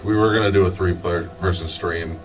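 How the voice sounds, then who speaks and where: A man's voice: deep voice, fairly even intonation, brisk. A man with an American accent chatting casually on a gaming livestream, rambling, joking and swearing in a sardonic way. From Michigan, United States. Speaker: game livestreamer. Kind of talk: casual rambling banter between friends. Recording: distant mic with music playing.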